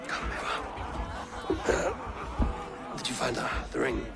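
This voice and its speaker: An actor playing an affectionate, hearty Viking father who coaxes his young child with proud, expressive delivery. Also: medium pitch, slow pace, vocal fry, full character voice. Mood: affectionate, coaxing. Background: loud music and crowd.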